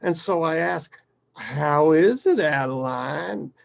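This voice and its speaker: Man acting out animated, gravelly, foul-mouthed dad. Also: on the high side, slow pace, pitched above the performer's own voice, full character voice. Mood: amused, animated. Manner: emphatic, colloquial, swearing storyteller. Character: rough, foul-mouthed, animated father reminiscing fondly about his daughter. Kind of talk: dramatic acted monologue within a story.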